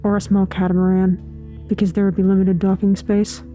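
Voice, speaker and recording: A woman's voice: mid-range voice, little pitch movement, moderate pace, over music, lightly acted. An actress playing a reserved, literal-minded, wistful woman in an audio drama, speaking tersely and matter-of-factly. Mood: quietly musing. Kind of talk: understated audio drama acting.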